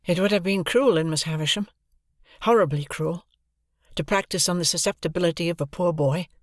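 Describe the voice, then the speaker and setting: A man's voice: on the high side, no room sound, clean studio sound, voice pushed up, natural voice. A man voicing an earnest young man pleading his love in a storybook reading.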